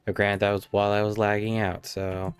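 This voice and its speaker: A male game streamer with a lowish voice that often drops into fry. He mutters casual live commentary to himself in a dry, close recording, with faint game music behind him. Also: level tone, fairly quick, no room sound.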